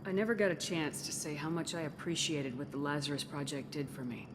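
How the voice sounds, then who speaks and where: A woman's voice: sits low, brisk, background noise, natural voice. An actress playing a tough, guarded female military commander in a video game, speaking bluntly and firmly. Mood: firm, probing. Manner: blunt, firm, questioning. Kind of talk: video game cutscene acting. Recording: music behind the voice.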